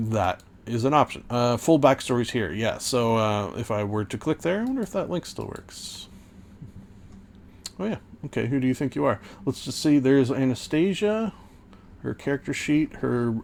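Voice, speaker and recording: A man hosting a podcast, casually recapping an old tabletop campaign, rambling with many fillers and self-corrections, and a little hoarse. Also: lowish voice, darkish tone, slight background noise. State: losing his voice. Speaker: podcast host and game master.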